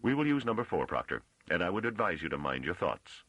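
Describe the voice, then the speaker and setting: Man playing a pompous, very deep-voiced male scholar. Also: sing-song, fast talker, fairly bright tone, dry recording, heavily acted. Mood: curt, haughty. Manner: pompous, condescending, curt. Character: haughty, peevish male scholar of high rank.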